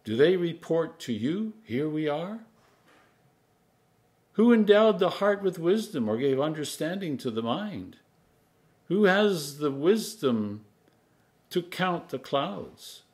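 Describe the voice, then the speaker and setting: Older clergyman reading scripture, measured and solemn. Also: on the high side, very animated, a little mellow. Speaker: Anglican priest. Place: home kitchen, indoors.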